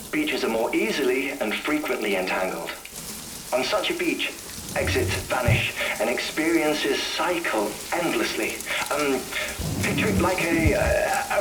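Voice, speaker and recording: A man playing an earnest, thoughtful scientist in a video game cutscene, explaining theories haltingly. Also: medium pitch, roomy sound, background noise, natural voice. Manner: halting, explanatory, with hesitant pauses.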